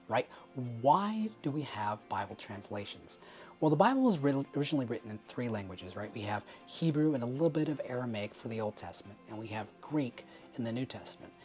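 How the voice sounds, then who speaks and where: A man hosting his own teaching channel, talking casually to camera in an upbeat, emphatic way and repeating key points. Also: mid-range voice. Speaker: Bible teaching channel host. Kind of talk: casual explanatory talk to camera.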